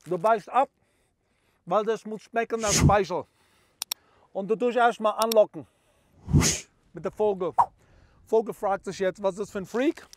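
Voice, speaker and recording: A man's voice: high-pitched, measured pace, pauses often. Calvin the Bird, a man putting on a bragging bird photographer. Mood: boastful. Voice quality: broken German mixed with English words. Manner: boastful, stumbling over words. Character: clumsy self-proclaimed famous bird photographer man, bragging. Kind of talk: parody how-to video.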